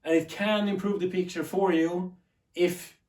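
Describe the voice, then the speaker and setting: A man's voice: sits high, fairly even intonation, a little slow, darkish tone. Swedish-accented man, earnest unscripted rambling. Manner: rambling, earnest, self-correcting. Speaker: tech YouTuber. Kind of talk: unscripted talk to camera. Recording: echoey room.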